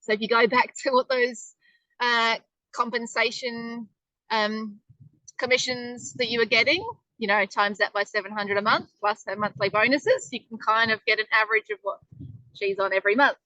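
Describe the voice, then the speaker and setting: A woman's voice: fairly high pitch, moderate pace, bright, forceful tone, no echo, highs cut off. A woman presenting a casual webinar in a chatty, upbeat way, with lots of ums. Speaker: online business presenter.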